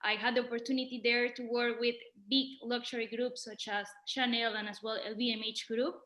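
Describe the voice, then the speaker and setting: Woman, high bright voice, chatty guest, echoey room over a call. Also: flat delivery, a little fast. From Venezuela. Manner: earnest and expansive, with small grammar slips. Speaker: guest luxury marketing expert. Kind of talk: podcast interview.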